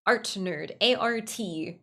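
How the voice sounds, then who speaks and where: Woman streamer with a creaky voice. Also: mid-range voice, slow, pushed and bright. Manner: gushing, repeats phrases for emphasis, casual swearing. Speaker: livestream host. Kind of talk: casual livestream chat, reading and answering viewer comments.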